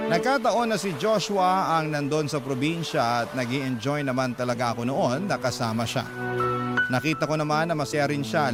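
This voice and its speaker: Filipino man narrating over loud music. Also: fairly high pitch. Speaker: radio drama host reading a listener's letter. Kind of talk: scripted storytelling narration. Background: loud background music.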